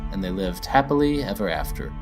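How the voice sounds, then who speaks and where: A man's voice: low-pitched, sing-song, a little fast, over music, low-quality recording. A man reading a children's story as its narrator, in a scripted storybook style.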